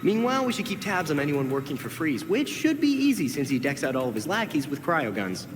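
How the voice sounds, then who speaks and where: A man's voice: fairly high pitch, very animated, a little fast. A man playing an analytical, eager young sidekick hero in a video game cutscene. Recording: long reverb, music behind.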